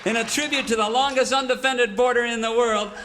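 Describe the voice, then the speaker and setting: An actor playing an earnest, bumbling cowboy sidekick, folksy and eager, stumbling over words in comic radio theater. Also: male voice, very high voice, steady tone, a little fast, a crowd in the background. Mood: grand. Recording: noisy live stage with audience.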